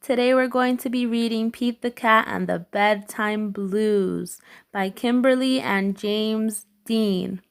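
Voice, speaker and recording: A woman hosting a read-aloud, narrating a children's storybook slowly and expressively with emphatic phrasing. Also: fairly high pitch, gravelly, clear, resonant tone.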